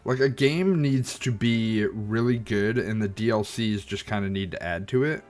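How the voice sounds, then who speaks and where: A man's voice: lowish voice, moderate pace, darkish tone, faint music behind. A man streaming a game and chatting about it, thoughtful and hesitant, with a slightly stuffy, nasal sound. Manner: thoughtful, hesitant, many ums and restarts. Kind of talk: casual gameplay commentary.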